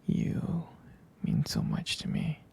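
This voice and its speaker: A man playing a possessive, tender young boyfriend in an intimate ASMR roleplay, whispering breathily in a halting, softly pleading way. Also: close to an everyday voice. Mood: possessive, intense. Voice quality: breathy, husky whisper with gravelly creak. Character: possessive, tender, jealous young boyfriend comforting his sweetheart.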